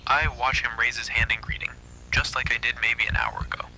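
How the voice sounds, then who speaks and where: A man narrating a scripted first-person horror story in a hushed, breathy, suspenseful delivery. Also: mid-range voice, little pitch movement, a little fast, background noise.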